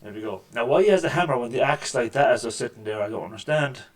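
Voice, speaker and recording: A man with a deep voice hosting a casual let's-play, making wry, joking remarks over the gameplay at a desk microphone. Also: lively intonation, quick pace. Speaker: gaming channel host. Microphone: desk microphone with mixer.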